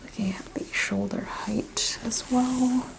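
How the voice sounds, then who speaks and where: A soft-spoken woman doing an ASMR chiropractic roleplay, giving gentle clinical questions and step-by-step instructions, often dropping to a whisper. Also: fairly high pitch, steady tone, slow pace, vocal fry, low-quality recording.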